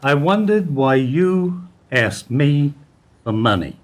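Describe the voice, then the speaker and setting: An actor playing an observant, low-ranking bank employee in a radio play, speaking in a measured, pointed, insinuating way. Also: male voice, big pitch swings. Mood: knowing, insinuating.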